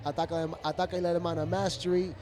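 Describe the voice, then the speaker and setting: A man who is a dance guest, chatting casually and quickly with plenty of fillers, recorded outdoors at an event. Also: a little high. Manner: quick, energetic, lots of fillers. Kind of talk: casual interview chat.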